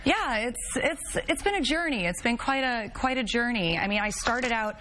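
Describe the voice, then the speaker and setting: A woman in her thirties from the Chicago area, a guest on a TV talk show, telling stories in a chatty, animated way on a studio set. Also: a little high, moderate pace, a little hiss or hum. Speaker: guest, travel and music blogger. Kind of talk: casual talk-show chat.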